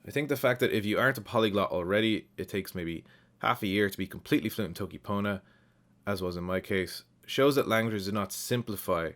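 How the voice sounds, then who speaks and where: A man's voice: deep voice, a bit bright. A man with an Irish accent casually reading and answering viewer comments as a channel host, in a reflective, explanatory way. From Ireland.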